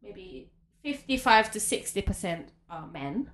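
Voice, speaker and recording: A woman from Japan, speaking English as a second language, talks as a podcast guest in a friend's apartment. Her voice swings up and down in pitch as she chats, and she laughs easily while answering bluntly. She sits at an ordinary pitch for a woman. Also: big pitch swings, unhurried, a bit bright. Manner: giggly, frank. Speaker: guest, waxing salon owner. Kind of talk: casual interview chat. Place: host's apartment.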